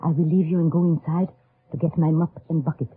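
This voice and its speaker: An actress playing a brisk, bold French resistance charwoman with a French accent and a husky voice, in old radio drama style. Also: deep voice, fairly even intonation. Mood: brisk, conspiratorial. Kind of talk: 1940s-50s radio drama acting. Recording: muffled old radio recording.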